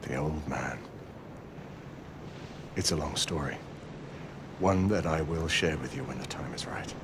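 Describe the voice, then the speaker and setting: Deep, commanding knight leader. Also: male voice, noisy background. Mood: grave, commanding. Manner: measured, authoritative, terse orders. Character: grave, commanding older knight leading a team, male. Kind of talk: video game cutscene acting.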